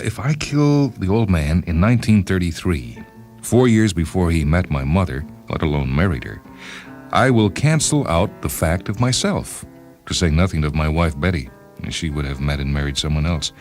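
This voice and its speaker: A man plays an obsessive time-travelling inventor telling his own story. The voice is very deep, deliberate and pedantic, coolly self-absorbed. Music runs behind the narration. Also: big pitch swings, a little mellow. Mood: pedantic, self-satisfied. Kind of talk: first-person dramatized short-story narration.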